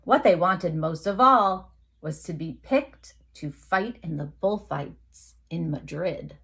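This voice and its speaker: A woman teacher reading a picture book aloud to young children, expressively, with dramatic emphasis, pauses and asides to her listeners. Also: lowish voice, expressive, gravelly, a bit bright.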